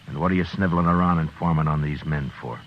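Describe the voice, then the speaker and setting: Deep-voiced, stern Old West marshal. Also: male voice, fast, a little hiss or hum. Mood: gruff, scolding. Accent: American. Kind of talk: 1950s radio western acting, first-person narration. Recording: dull vintage radio recording with music.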